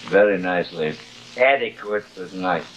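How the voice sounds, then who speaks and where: A man's voice: low-pitched, moderate pace, close to an everyday voice. A middle-aged actor playing a vain, cruel, drunken stage-actor father in a grandiose, slurred, taunting way, in theatrical 1950s television style. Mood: needling. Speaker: actor in a television horror drama. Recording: hissy old 1950s television soundtrack.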